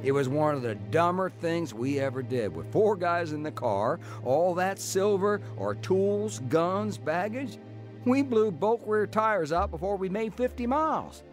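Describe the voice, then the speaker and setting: A man's voice: high-pitched, lively intonation, measured pace, faint music behind. An actor playing a tough career criminal telling his life story in a folksy, plain-spoken way for a radio drama. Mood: reflective, rueful. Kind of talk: radio drama acting and first-person narration.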